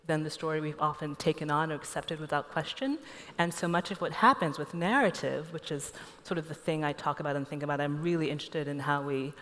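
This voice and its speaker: A woman from Nigeria speaking as a panelist in a reflective, storytelling manner. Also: deep voice. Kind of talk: panel discussion. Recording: echoey hall.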